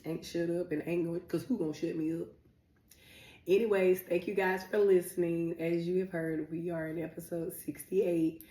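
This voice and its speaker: A woman's voice: lowish voice, steady tone, mellow. A woman co-hosting a podcast in casual chat, talkative and teasing, getting over a cold. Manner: chatty, fast-talking, playful teasing. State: recovering from a cold. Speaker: podcast co-host. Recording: echoey room.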